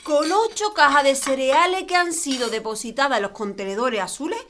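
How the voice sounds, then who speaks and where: An actress playing a caring middle-aged mother with a warm, coaxing, chatty manner. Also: high-pitched, brisk, slight background noise. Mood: cheerful. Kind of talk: comic family sitcom. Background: dinner table, clinking cutlery.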